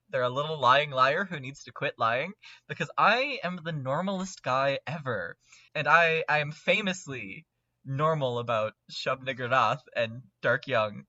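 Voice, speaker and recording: Bright, excitable man on a muffled mic. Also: a little high, moderate pace, no room sound. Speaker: podcast lore guest. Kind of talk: casual chat.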